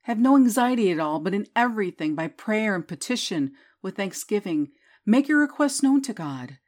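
A woman reads scripture and prayers as a guided meditation. Her delivery is slow and soothing. Her voice sits at a middle pitch. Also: a little mellow. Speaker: prayer leader.

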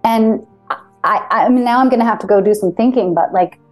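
A woman hosting a casual interview, talking animatedly with quick asides and frequent affirmations. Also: fairly high pitch, darkish tone, soft background music. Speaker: podcast host.

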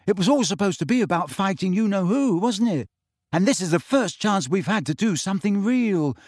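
A man voicing an earnest, quietly determined teenage boy in an audiobook, speaking plainly and sincerely. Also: high voice, expressive, fairly quick, no room sound, clean studio sound, pitched above the performer's own voice. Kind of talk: audiobook character voice.

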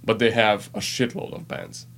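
A man's voice: lowish voice, little pitch movement, moderate pace, vocal fry, a bit bright. A man from Slovenia, a band member explaining things in a matter-of-fact way as a podcast guest. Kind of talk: casual interview chat.

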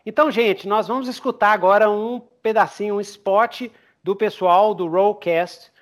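High-pitched Brazilian male podcast host. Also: fairly even intonation, normal speed. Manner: informal, slangy. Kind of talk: casual interview chat.

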